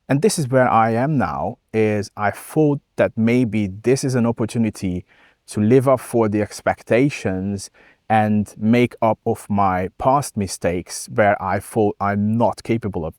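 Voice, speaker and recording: A man with a fairly low voice and a Hungarian accent speaks reflectively, as if to his vlog viewers. His English is non-native, with hesitant phrasing as he works through his thoughts. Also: a little mellow, no echo, clean studio sound. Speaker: vlogger. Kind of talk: reflective talk to camera.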